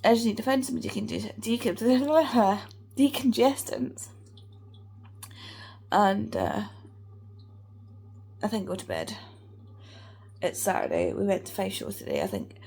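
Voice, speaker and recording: A woman with a hoarse, congested voice, chatting casually in a rambling way as a book vlogger. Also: medium pitch, a little mellow, slight background noise. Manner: rambling, chatty, many fillers. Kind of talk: casual vlog chat.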